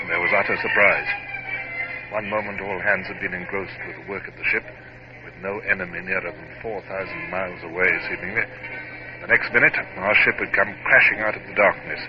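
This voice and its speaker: An actor playing a commanding, exacting naval captain, narrating formally and precisely in an old-time radio drama. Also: male voice, low-pitched, fairly even intonation, a little fast, natural voice. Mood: grave, dramatic. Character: commanding, exacting British naval captain recounting his battles. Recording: dull, very noisy vintage radio recording.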